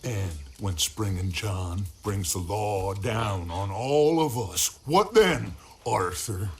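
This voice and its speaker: An actor playing a middle-aged American outlaw gang leader in a video game, grandiose and betrayed, with a gravelly, theatrical, drawn-out delivery. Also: male voice, lowish voice, animated, slight background noise. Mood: wounded, reproachful. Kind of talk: dramatic video game acting.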